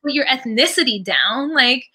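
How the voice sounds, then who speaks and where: A Canadian woman with a fairly high, strikingly bright voice talks casually as a podcast guest. She is animated and slangy, recounting personal stories and voicing the people in them as she goes. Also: moderate pace, slightly rough. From Toronto, Canada. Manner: animated, slangy, acts out quoted conversations. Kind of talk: casual chat, personal storytelling.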